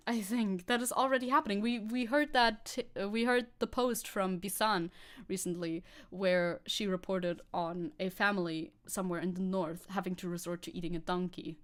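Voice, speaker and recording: A woman from Germany, a streamer, talking bluntly through the news in casual chat and swearing often. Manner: blunt, swears often, lively pitch jumps. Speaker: VTuber streamer.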